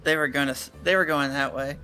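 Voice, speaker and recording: A man voicing a rambling, spaced-out young woman from a visual novel in a meandering deadpan manner. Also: on the high side, fast talker, soft background music. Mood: aimless deadpan.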